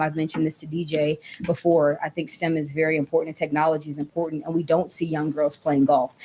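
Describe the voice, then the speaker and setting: A young woman from Georgia addresses a city council during public comment. Her voice sits low and stays fairly level in pitch. She speaks earnestly and from the heart, checking in with "right?" and "you know" as she goes. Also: darkish tone, poor audio. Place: council chamber.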